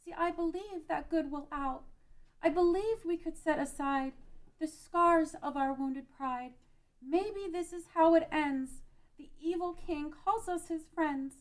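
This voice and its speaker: A woman's voice: very high voice, a little slow. A woman playing a brave, earnest young peasant girl pleading for peace in an amateur fantasy table read.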